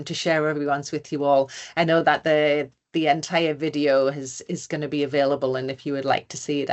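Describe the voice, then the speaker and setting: Low-voiced woman presenting on a webinar. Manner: conversational, many fillers and restarts. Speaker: grief educator presenting on a webinar. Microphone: video call.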